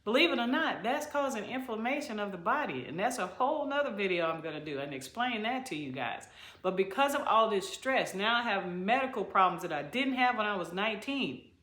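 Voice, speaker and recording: A woman's voice: mid-range voice, expressive, a little fast, a bit bright. A middle-aged woman giving haircare advice straight to the camera, emphatic and preachy, repeating key phrases and calling her viewers y'all and you guys. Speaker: haircare specialist. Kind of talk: direct-to-camera advice talk. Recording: echoey room.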